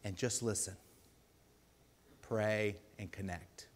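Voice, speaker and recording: A man with a deep voice preaching a sermon in a church, earnest and pleading, with loud emphatic bursts. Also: fairly even intonation, slow. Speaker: lay preacher.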